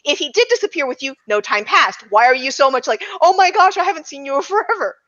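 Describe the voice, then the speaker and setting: A woman's voice: high-pitched, lively intonation, fast, fairly bright tone, muffled recording. A woman chatting casually and emphatically as a panelist in a film discussion. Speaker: podcast panelist.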